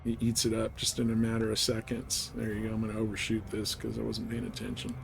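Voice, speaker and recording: A man's voice: lowish voice, completely flat delivery, quick pace, vocal fry, over music. A man livestreaming a video game with casual commentary, rambling a little as he thinks aloud. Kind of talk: casual live gameplay commentary.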